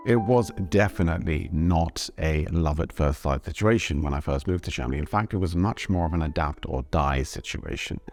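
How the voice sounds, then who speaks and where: A young Englishman with an English accent, telling his own story in measured, reflective scripted narration. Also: a bass voice, darkish tone, faint music behind. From Britain. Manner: thoughtful, measured storytelling, switching between English and German. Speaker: vlogger.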